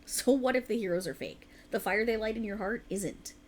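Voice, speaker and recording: A woman's voice: medium pitch, normal speed, slight background noise, lightly acted. A woman playing a tired, passionate writer girlfriend in an intimate ASMR roleplay, rambling earnestly and getting playfully defensive. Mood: passionate, explaining.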